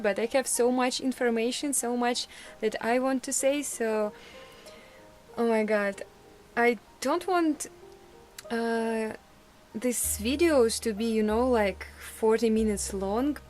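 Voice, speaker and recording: A young woman whose first language is Russian, chatting casually in a vlog, laughing often and pausing with fillers. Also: fairly high pitch, darkish tone. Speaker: vlogger.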